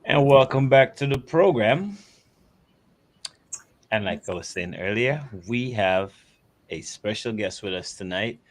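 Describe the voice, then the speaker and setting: Low-voiced man hosting a casual show. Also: expressive, unhurried, long pauses. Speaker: show host. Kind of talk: casual chat.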